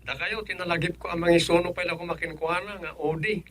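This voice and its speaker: A man's voice: a little high, steady tone, fast talker, a bit bright, lightly acted. A man playing a businesslike village chairman and son-in-law in an Ilocano radio comedy, with a clipped manner and an Ilocano accent. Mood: brisk, businesslike.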